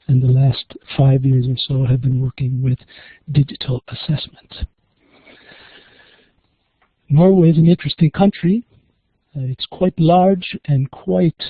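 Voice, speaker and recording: An American-accented man presenting in a webinar, measured and pausing with frequent fillers, on tinny phone-like audio. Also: medium pitch, husky, no room sound. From USA. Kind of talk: presentation talk.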